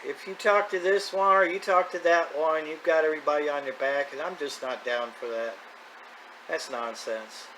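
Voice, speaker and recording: Male, 50s, mid-pitched, folksy unscripted vlogger delivery, audible background noise. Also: a bit bright, rough recording. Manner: plain-spoken, folksy, unscripted. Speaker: radio hobbyist video host. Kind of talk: casual rambling vlog talk.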